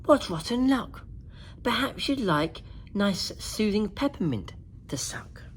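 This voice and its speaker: A plucky young princess with a kind heart, voiced by a woman reading a storybook. She is well-spoken and unhurried, with a lifted, lively melody. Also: mid-range voice, breathy edge, pitched above the performer's own voice, exaggerated voice. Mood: kind, sympathetic. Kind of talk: children's storybook character voice.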